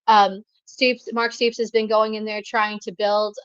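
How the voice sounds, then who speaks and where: A woman's voice: medium pitch, level tone, unhurried, a bit bright, clear, resonant tone, no echo, muffled recording. A woman co-hosting a college football show, chatting with many fillers and restarts. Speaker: co-host. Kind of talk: sports talk commentary.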